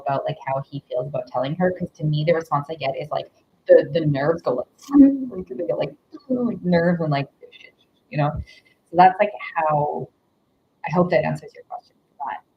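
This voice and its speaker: A woman's voice: sits low, dull audio, slight background noise. A woman doing a live tarot reading in casual chat, rambling with many fillers and giggles. Her nose is stuffy. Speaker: tarot reader.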